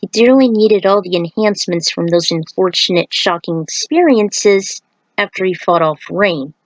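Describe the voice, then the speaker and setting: A woman's voice: fairly low pitch, animated, moderate pace, no room sound, rough recording. A woman narrating her story aloud, with casual asides to listeners. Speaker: narrator reading own fan fiction.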